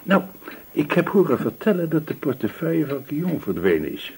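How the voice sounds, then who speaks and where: A callous landlord in a radio drama, with slightly husky tone and widely swinging pitch. He is disdainful and gossipy, always complaining and justifying himself, and slips into evasive hedging. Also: darkish tone, exaggerated voice. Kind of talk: Dutch radio play dialogue.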